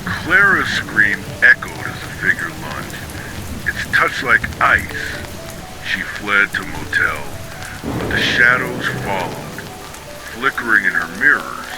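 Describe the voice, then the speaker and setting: A man narrating scripted ghost stories for sleep in a measured, dramatic storytelling manner. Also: fairly low pitch, very animated, over music, poor audio.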